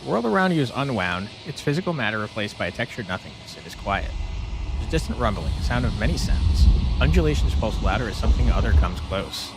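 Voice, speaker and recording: A man's voice: fairly low pitch, very animated, quick pace, rough recording. A man hosting a gameplay video, casually musing aloud and reading on-screen text. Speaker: gaming video host. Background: game music.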